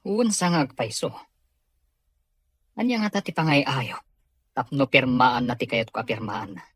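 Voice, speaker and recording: A man with a Filipino accent playing a scheming, hot-tempered, money-hungry schemer in a radio drama, with a husky voice. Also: mid-range voice, moderate pace, no echo, lightly acted. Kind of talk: Ilocano radio drama acting.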